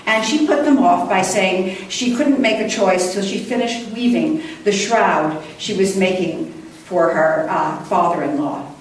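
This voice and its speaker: A woman poet reading her own work live at a book launch, with a measured, deliberate delivery and careful pauses. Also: mid-range voice. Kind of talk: live poetry reading with brief introductions. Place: indoor room before a live audience. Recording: distant mic, echoey room, faint noise.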